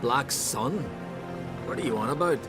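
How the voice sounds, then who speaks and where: A man's voice: medium pitch, lively intonation, measured pace, loud music behind the voice, close to an everyday voice. A man voicing a dreamy, obsessive island bard in a video game, earnest and old-fashioned in his phrasing. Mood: puzzled.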